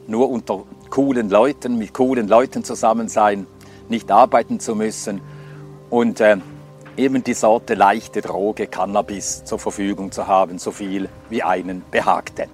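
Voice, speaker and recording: Older man telling his story. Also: mid-range voice. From Scandinavia. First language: Swedish. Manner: reflective, hesitant with frequent fillers. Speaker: testimony speaker. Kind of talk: personal life testimony.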